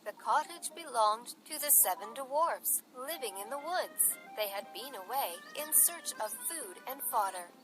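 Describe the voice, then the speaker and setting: Slow, high-voiced woman telling stories. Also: lively intonation, rough recording. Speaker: storyteller. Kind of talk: scripted children's bedtime story narration. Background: music bed.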